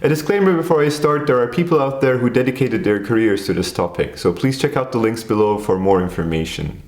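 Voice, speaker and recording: A man from Turkey, a native Turkish speaker, calmly narrating a scripted explainer video. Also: medium pitch, a little fast, reverberant. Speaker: video essay host.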